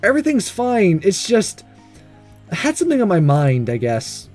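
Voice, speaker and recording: A man voicing an earnest, nervous, lovestruck male high school student in a visual novel, speaking hesitantly. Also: wildly swooping pitch, normal speed, a little mellow, soft background music, exaggerated voice. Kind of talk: reading visual novel dialogue aloud.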